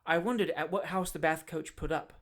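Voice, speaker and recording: A man voicing a mild, courteous elderly gentleman who speaks politely and calmly. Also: fairly high pitch, fairly quick, close to an everyday voice.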